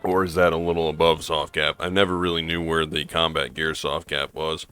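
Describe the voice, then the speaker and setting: A hoarse man playing a game and thinking aloud in a rambling, casual way, with fillers and restarts. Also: extremely low pitch, little pitch movement, a little fast, pushed and bright, faint music behind. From American Midwest. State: hoarse from allergies. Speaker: gaming streamer. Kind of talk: casual gameplay commentary.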